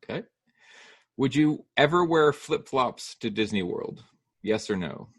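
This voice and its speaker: A middle-aged man hosting a casual interview podcast, upbeat and quick with his questions, laughing easily. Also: mid-range voice, animated, breathy edge. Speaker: podcast host.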